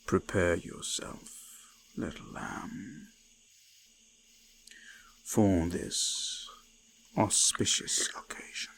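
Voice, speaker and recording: A man playing an ancient, possessive forest god in an intimate roleplay audio, speaking formally and ominously in a breathy, gravelly murmur that often drops to a whisper. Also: low voice, animated, very slow, long pauses, fairly bright tone, slight background noise, full character voice. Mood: possessive, teasing.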